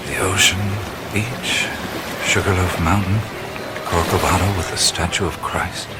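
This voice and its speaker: A man playing a wry, uneasy adventurer in a radio drama, muttering halting reactions. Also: deep voice, fairly even intonation. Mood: calm. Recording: voice over loud music bed.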